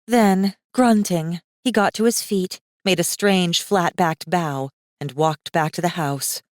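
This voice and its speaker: Woman doing dramatic audiobook narration, slightly low voice, really dry close studio sound. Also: slow pace, no room sound. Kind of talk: dramatic scripted fantasy narration. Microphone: close studio microphone.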